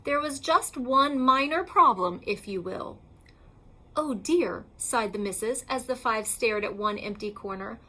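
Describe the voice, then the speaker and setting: A woman reading a children's picture book aloud like a schoolteacher, in a theatrical, emphatic and deliberate way. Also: a little high, pushed and bright.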